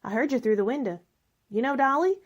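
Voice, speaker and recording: A woman's voice: high-pitched, lively intonation, fast. A woman acting in a radio drama as a lonely, faded spinster who likes her wine, wistful, bitter and self-pitying. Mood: sociable, brittle.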